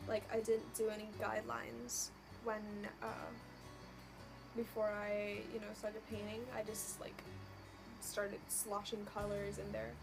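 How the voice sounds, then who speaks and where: A woman's voice: fairly high pitch, gravelly, noisy background. A young woman from Puerto Rico answering viewer questions in a casual vlog, hesitant and full of ums and likes. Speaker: vlogger. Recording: music playing behind.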